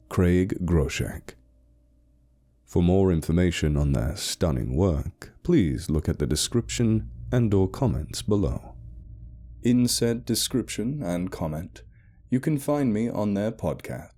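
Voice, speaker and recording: A man narrating a scripted horror story like a dramatic audiobook, in a measured, deliberate and ominous way. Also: low-pitched, dark tone.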